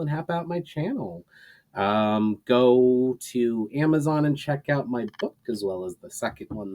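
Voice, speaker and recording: Male author-host for storytime readings, average pitch, presenting his own fiction. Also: darkish tone. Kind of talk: dramatic story narration and casual sign-off.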